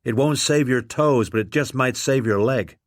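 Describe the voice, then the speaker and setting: A sober, practical captain who lays out grim facts without fuss, as a man narrating a storybook puts him on. The delivery is level and measured, recorded close and dry. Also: mid-range voice, dry recording, lightly acted. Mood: grave. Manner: measured, matter-of-fact. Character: calm, practical ship's captain tending wounds.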